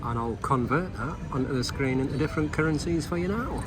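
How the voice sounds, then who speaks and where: A man chatting casually on camera outdoors in a hot city, relaxed and unhurried. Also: a little high, heavy background noise. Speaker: travel vlog host. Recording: loud music over on-location audio. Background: loud music.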